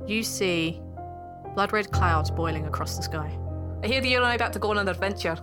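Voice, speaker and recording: A woman narrating as a tabletop game master, dramatic and foreboding, describing dark fantasy visions. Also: mid-range voice, lively intonation, a little fast, over music, natural voice. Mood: ominous, dramatic.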